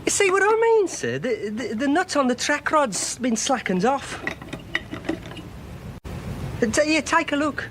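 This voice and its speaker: A man's voice: very high voice, big pitch swings, a little slow, long pauses, noisy background, heavily acted. A man playing a deferential garage mechanic in a radio drama, stammering and hesitant.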